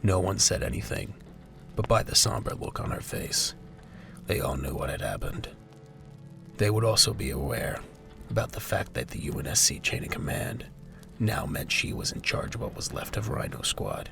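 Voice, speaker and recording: A man narrates an audio drama in an extremely deep voice full of gravelly creak. His scripted delivery is highly animated in pitch, set over clear background music. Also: moderate pace.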